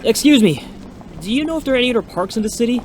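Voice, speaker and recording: A man playing an eager, polite young martial arts student in an audio drama, earnest and expressive, in an echoey recording. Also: very high voice, rapid-fire. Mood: searching, thinking aloud. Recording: echoey, reverberant, faint background noise.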